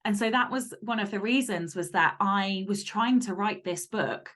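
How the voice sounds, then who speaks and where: A woman's voice: medium pitch, normal speed, fairly bright tone. A woman speaking as an author guest in a casual interview, explaining things in a candid, informal and detailed way. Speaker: podcast guest, fiction author.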